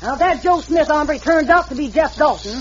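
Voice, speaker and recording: Gruff frontier lady sheriff. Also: high-pitched, expressive, brisk. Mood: relieved. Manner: brusque western drawl, orders people about. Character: tough frontier woman sheriff, blunt and bossy. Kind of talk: 1940s radio western acting. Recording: dull old radio recording with hiss.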